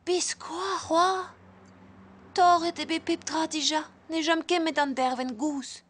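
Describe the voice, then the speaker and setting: Very high-pitched, spirited little girl. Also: fairly even intonation, slow, heavily acted. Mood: worried. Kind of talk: dubbed children's cartoon acting. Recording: loud music under the voice.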